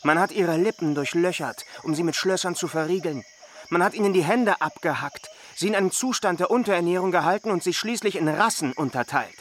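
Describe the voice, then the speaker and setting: An actor playing a grave, impassioned chronicler, speaking in a solemn, emphatic stage declamation. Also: male voice, high voice, brisk, fairly bright tone, a little hiss or hum.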